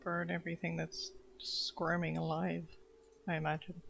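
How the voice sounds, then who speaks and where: A man doing casual live game commentary, calm and wry, asking bemused questions aloud as he plays. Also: fairly high pitch, normal speed, creaky. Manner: even-toned and calm. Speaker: game streamer. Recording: noisy stream with game ambience behind.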